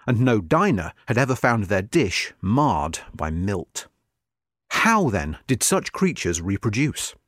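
A man narrating a scripted history magazine article in a measured, articulate way. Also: mid-range voice, no echo, clean studio sound.

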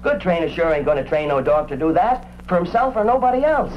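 An actor playing a proud, talkative animal-act agent in a radio drama, with a folksy, slangy way of talking. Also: male voice, a little high, big pitch swings, fast. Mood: knowing, emphatic. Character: chatty, proud male animal-act agent, showbiz enthusiast. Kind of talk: old-time radio drama acting. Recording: muffled, faintly noisy old radio recording.

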